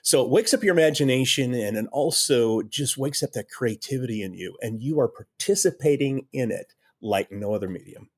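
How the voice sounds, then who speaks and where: A man's voice: mid-range voice, lively intonation, a little fast, few pauses. A man answering as an interview guest, conversational and enthusiastic, repeating phrases for emphasis. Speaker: interview guest, audio drama creator.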